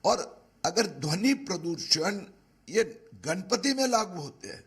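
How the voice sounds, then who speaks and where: An Indian man giving a halting spoken statement as a politician. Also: high voice, big pitch swings, husky.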